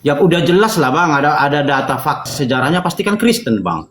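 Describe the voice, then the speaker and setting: A man's voice: on the high side, big pitch swings, fairly quick, fairly bright tone, roomy sound. A man in an informal religious debate, explaining his points and arguing through illustrations. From North Sumatra, Indonesia. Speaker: debate participant.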